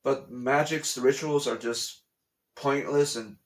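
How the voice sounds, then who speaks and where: A man's voice: medium pitch, fairly even intonation, a little slow. A man talking casually to his camera at home, rambling and repeating himself, bluntly dismissing conspiracy theories. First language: English. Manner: rambling, repeats phrases often. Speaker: vlogger. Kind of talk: casual explanatory monologue. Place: at home, room with a TV.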